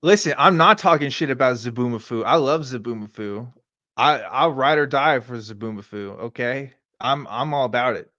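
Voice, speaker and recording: A man's voice: medium pitch, moderate pace, bright, forceful tone, no room sound, dull audio. A man co-hosting a reaction show with casual, slangy, sweary commentary.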